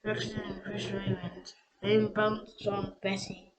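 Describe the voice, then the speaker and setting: A young reader reading a children's picture book aloud, haltingly, stumbling over and repeating words. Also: medium pitch, level tone, a crowd in the background, low-quality recording. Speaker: story reader.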